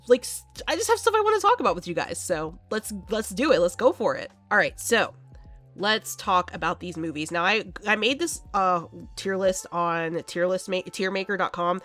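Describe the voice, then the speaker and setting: A young woman hosting a casual horror movie vlog, chatty and quick to laugh, sounding a bit congested from allergies. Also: fairly low pitch, a bit bright. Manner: chatty, laughs often, many fillers.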